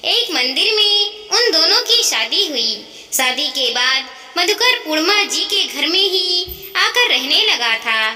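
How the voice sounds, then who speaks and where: Female narrator, piercingly bright and high, earnest scripted moral tale, echoey reverberant recording. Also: big pitch swings, slow, distant mic. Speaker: storyteller, narrator. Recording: echoey, reverberant hall-like sound.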